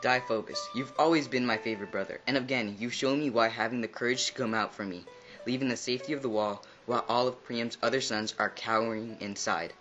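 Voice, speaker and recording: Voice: mid-range voice, fairly even intonation, fairly quick, a bit bright, muffled recording, soft background music, natural voice. A performer playing a proud, doomed Trojan warrior prince in a mythological puppet show. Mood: grateful.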